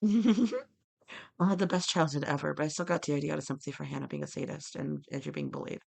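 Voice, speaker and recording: A young man talking straight to camera in a disjointed, rambling monologue that breaks into sudden loud outbursts. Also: on the high side, brisk, vocal fry. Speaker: vlogger.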